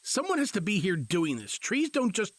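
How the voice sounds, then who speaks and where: A man's voice: high voice, huge pitch swings, normal speed, breathy edge, dry recording, full character voice. A man playing an exasperated, sarcastic husband dangling from a tree in an audio drama. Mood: frustrated. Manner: sarcastic, blustering.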